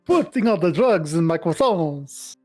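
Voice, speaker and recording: A man puts on a comic man. The voice jumps up high, outraged and threatening, over faint music. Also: sing-song, a little slow.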